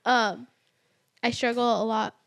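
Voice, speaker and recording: A young woman in her twenties speaks in a somewhat high voice. She chats casually and openly, filling her talk with "like" and laughing often. It sounds like a relaxed conversation about faith and family. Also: gravelly. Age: early 20s. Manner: chatty, many "like" fillers, quick to laugh. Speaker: podcast guest.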